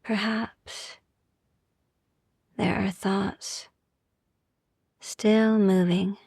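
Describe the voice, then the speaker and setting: A woman guiding a sleep meditation in a soft, gentle, soothing ASMR style, hushed and often slipping into whispers, with long pauses. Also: medium pitch, level tone, gravelly, husky, no echo, studio quality. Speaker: sleep meditation podcast host.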